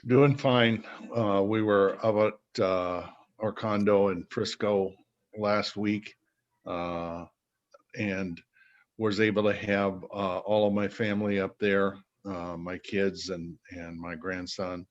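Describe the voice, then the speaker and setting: An older man with grandchildren giving a relaxed check-in to his Bible study group, with many fillers. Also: low voice, slow, muffled recording. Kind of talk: casual check-in.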